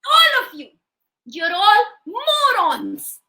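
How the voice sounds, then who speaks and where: A woman's voice: extremely high pitch, very animated, bright, forceful tone, heavily acted. A woman voicing a tiny, clever, bossy woman in a children's story, scolding with emphatic questions. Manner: emphatic, scolding, drawn-out insults, rhetorical questions.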